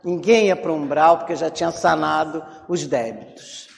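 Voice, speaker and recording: A woman's voice: low-pitched, moderate pace, vocal fry. A woman with a Rio de Janeiro Brazilian accent gives an informal religious talk, conversational and full of check-in questions to her listeners. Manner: conversational, acts out inner complaints, frequent check-in tag questions. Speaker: Spiritist lecturer. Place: reverberant hall, public talk. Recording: long hall reverberation.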